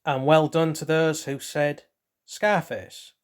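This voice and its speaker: Slow, rambling male quiz host. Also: a little high, little pitch movement, smooth. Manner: reads questions, then rambles loosely with hesitant asides. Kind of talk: casual trivia quiz narration.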